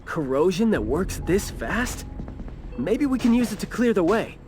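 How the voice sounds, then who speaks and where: High, animated young game companion. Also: male voice, unhurried, faint music behind. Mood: eager. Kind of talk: video game voice acting.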